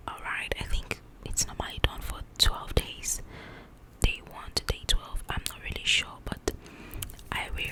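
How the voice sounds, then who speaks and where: A woman speaking in a breathy, unvoiced whisper as an ASMR host, chatting informally and directly to her viewers in a rambling, casual way. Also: poor audio.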